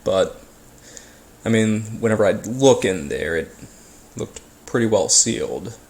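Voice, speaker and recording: A man telling a first-person story casually, as if being interviewed, in a halting, hesitant way with pauses and repeats. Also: lowish voice, expressive, darkish tone. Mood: uneasy, reflective. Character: ordinary man recounting childhood brushes with a haunting.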